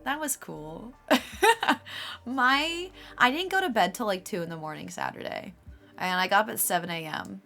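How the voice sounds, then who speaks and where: A casual woman streamer chats with her viewers in an easy, mid-pitched voice that carries a slight rasp. She laughs often and talks along freely while faint music plays beneath her. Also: lively intonation, a little slow, bright, forceful tone. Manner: chatty, laughs often, reacts to chat. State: slightly raspy voice. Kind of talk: casual live-stream chat.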